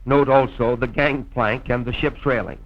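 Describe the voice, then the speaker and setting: A man's voice: medium pitch, steady tone, normal speed. Dull old film recording, male announcer. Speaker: narrator and radio announcer. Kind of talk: formal scripted documentary narration, mid-century radio manner.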